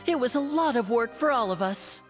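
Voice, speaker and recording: A man's voice: very high voice, expressive, fairly quick, fairly bright tone. An actor playing a poised young male stage magician in a video game, polished, courteous and full of showmanship. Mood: gracious. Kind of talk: video game character acting. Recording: long hall reverb, music behind.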